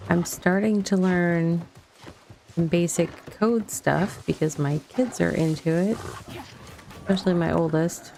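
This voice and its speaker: A middle-aged woman with a mellow, somewhat low voice talks casually while playing a video game. She rambles without hurry and thinks aloud, with vocal fry in her delivery. There is some noise in the recording. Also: a little slow. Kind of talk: casual chat over gameplay.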